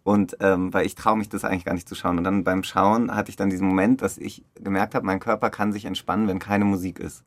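A man's voice: sits low, flat delivery, quick pace, hardly any pauses, no echo. A man in a casual, reflective interview, talking as a director in loose, informal phrasing. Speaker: radio play director interviewed.

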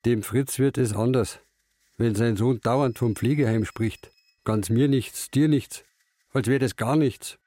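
A man's voice: mid-range voice, measured pace, warm tone, no echo, lightly acted. An actor playing a stubborn, bitter old man after a stroke, grumbling tersely and narrating himself. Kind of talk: stylised stage-drama narration and dialogue.

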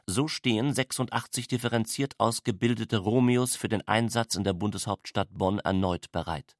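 A man reading scripted literary narration in a measured, formal, factual manner. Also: lowish voice.

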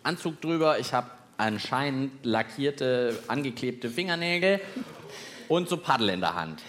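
A man's voice: mid-range voice, expressive, measured pace, fairly bright tone. A man co-hosting a children's live show with playful, exclamatory banter and mock complaints. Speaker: co-host and playful test subject of a children's podcast. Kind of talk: spontaneous comic banter on stage. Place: live stage at a podcast festival.

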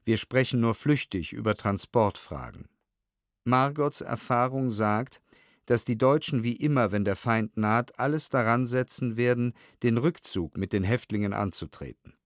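Guy reading old documents for an audiobook, sober and careful, mid pitched, really dry studio sound. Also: dry recording. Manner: measured, sober, precise enunciation. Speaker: narrator reading historical documents and testimony. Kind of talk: scripted documentary audiobook narration. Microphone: close studio microphone.

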